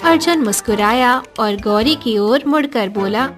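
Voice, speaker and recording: A woman's voice: on the high side, expressive, measured pace. A woman reading scripted narration for a storybook cartoon, with music behind her. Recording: background music under the voice.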